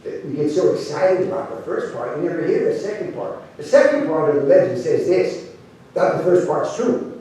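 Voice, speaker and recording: A man voicing an older, wise cowboy cook who talks slowly, quietly and deliberately in a live story. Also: fairly high pitch, animated, lightly acted. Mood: calm, solemn. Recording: very echoey room, distant mic, faint noise.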